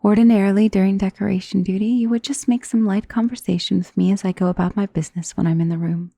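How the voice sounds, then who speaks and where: Casting: woman to play elegant female vampire for ASMR roleplay. Mellow, breathy, coaxing and possessive, often whispering close to the mic. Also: mid-range voice, steady tone, quick pace, dry recording. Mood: reassuring, coaxing. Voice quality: breathy, soft. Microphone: close studio mic.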